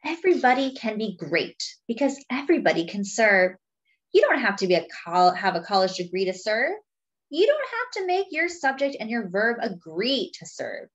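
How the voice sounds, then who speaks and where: A woman reading a picture book aloud to young children in a deliberate, teacherly way, adding simple explanations as she goes. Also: on the high side, very animated, muffled recording. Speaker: children's read-aloud storyteller.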